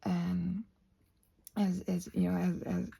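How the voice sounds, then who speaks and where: A woman chats in a very breathy, husky voice with heavy vocal fry. Her tone is mellow and soft-spoken, often dropping into a whisper. She wanders through her thoughts effusively, as in a casual ASMR ramble while painting. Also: on the low side, monotone, slow pace. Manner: effusive, wandering, thinking aloud. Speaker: host of a craft ramble ASMR channel.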